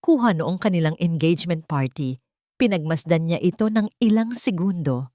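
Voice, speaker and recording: A woman narrates a dramatic story from a script. Her voice is a little lower than most women's. The sound is dry, with very little room in it. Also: lively intonation, normal speed, dark tone, no echo.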